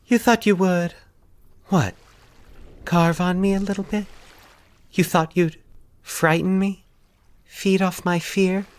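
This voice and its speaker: A man's voice: high voice, fairly even intonation, slow, long pauses, voice pushed up. A man voicing a sinister, coy woman who coos and hisses with teasing menace in a horror story. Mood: mocking, cooing. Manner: cooing, hissing, teasing menace. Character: predatory woman, coy, sinister, with monstrous sharp teeth.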